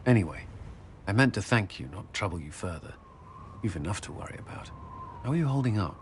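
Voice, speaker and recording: An actor playing an earnest, humbled, dutiful warrior in a video game, speaking sincerely and haltingly. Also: male voice, fairly low pitch, big pitch swings. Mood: reassuring. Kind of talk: video game cutscene dialogue. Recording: clear background ambient noise.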